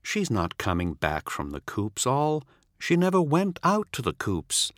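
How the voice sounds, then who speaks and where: A man's voice: medium pitch, wildly swooping pitch, a little slow, fairly bright tone, dry recording, studio quality, pitched above the performer's own voice. A man voicing a charming, smug former comrade who keeps pressing and needling. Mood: insistent. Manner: needling, insistent. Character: smug, charming male old soldier comrade.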